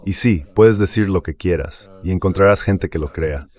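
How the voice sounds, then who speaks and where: A man's voice: low voice, normal speed, darkish tone. A man giving conversational political commentary as a translated voice-over.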